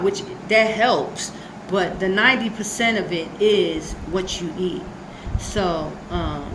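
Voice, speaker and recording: A woman's voice: medium pitch, echoey room, noisy background. A woman hosting her channel, talking earnestly and rambling about her diet and about preparing, with many fillers. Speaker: channel host. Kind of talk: casual reaction commentary.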